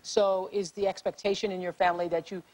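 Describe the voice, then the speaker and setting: A woman's voice: mid-range voice, level tone, fast, darkish tone, rough recording. A woman hosting a television talk show, chatty and affirming, often backing up her guest. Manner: chatty, affirming, many mm-hmms.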